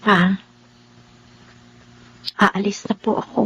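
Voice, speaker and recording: A woman's voice: medium pitch, fairly even intonation, slow pace, fairly bright tone, slightly rough, heavily acted. An actress with a Filipino accent playing an earnest, anguished young daughter who defies her family, in a life-story drama. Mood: earnest, resolute. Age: young adult. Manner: emotional, pleading. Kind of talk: Tagalog radio-style life drama acting with narration.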